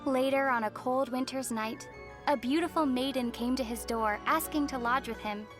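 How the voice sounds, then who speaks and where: A woman voicing a composed young female traveler in a video game, gentle, measured and thoughtful, with a wry edge. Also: high-pitched, over music, close to an everyday voice. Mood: calm storytelling. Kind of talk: video game character voice lines.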